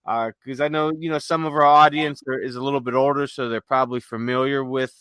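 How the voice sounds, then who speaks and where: A man with a smooth, clear, mid-pitched voice talks as a podcast co-host. He is easygoing and informal, recorded close and dry. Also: fairly even intonation, fast talker, fairly bright tone, dry recording. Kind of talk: casual podcast talk.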